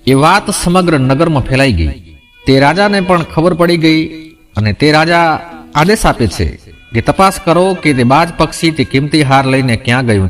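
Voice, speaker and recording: A man narrating a scripted moral folk tale as a storyteller. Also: on the high side.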